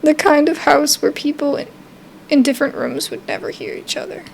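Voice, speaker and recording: A woman's voice: sits high, huge pitch swings, a little fast, creaky, slight background noise, low-quality recording. A woman doing a casual playthrough of a game, reading its narration aloud and reacting. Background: game music.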